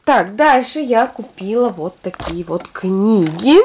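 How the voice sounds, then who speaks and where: A woman in her thirties from Russia, hoarse from a cold, chatting casually through a shopping haul at home. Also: medium pitch, lively intonation, takes it slowly, noisy background. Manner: chatty, rambling, talks to herself. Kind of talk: casual product haul chat. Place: home, recording products on a fluffy rug.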